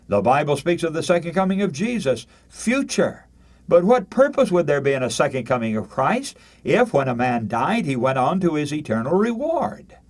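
An older man preaching a radio sermon, emphatic and deliberate, using rhetorical questions and repetition and reading out scripture. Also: mid-range voice, a little mellow.

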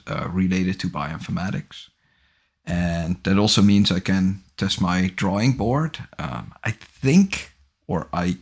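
A man in his forties hosting a casual livestream from a small home office, talking into a studio microphone in a relaxed, rambling way with a low voice. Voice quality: low husky voice. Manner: relaxed, rambling, self-correcting. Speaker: livestream host. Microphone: large studio microphone on boom arm, headphones.

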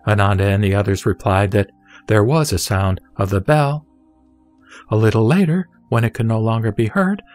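Guy reading scripture for an audio drama, lowish voice, formal and solemn, music behind. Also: a little mellow. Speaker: narrator and reciter.

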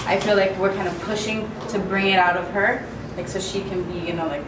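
Brief: casual woman from Miami, set interview, distant camera mic in a roomy space, loud music under. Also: mid-range voice, fairly even intonation, fairly quick, background noise. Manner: casual, lots of "like". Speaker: actress. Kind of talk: behind-the-scenes interview. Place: apartment room on set.

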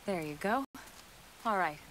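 A woman playing a composed, reassuring female sci-fi soldier in a video game cutscene, steady and matter-of-fact. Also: mid-range voice, a little slow, natural voice. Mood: calm, reassuring. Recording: faint rain and hiss behind.

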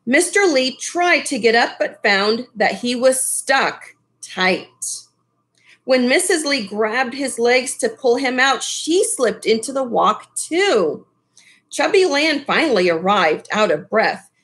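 A middle-aged woman reading a picture book aloud expressively, in an unhurried way, with casual asides to young listeners. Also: on the high side, lively intonation, fairly bright tone. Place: small furnished room at home, indoors. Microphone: webcam at desk distance.

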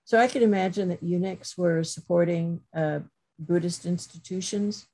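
Middle-aged woman talking on a video call, kinda low and gravelly, lots of uh and um as she thinks. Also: moderate pace, mellow, low-quality recording. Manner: thoughtful, many fillers and restarts. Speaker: guest lecturer, professor. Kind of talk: academic webinar talk.

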